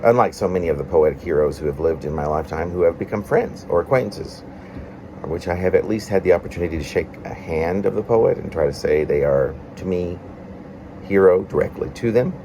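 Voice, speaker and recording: A man reading his own confessional, prose-like poem aloud in a reflective, halting way with formal wording. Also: low voice, dark tone, a little hiss or hum. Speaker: poet reading own work.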